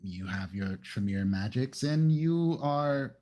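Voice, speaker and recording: A man's voice: medium pitch, lively intonation, takes it slowly, darkish tone. Man in casual improvised roleplay. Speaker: tabletop roleplay player.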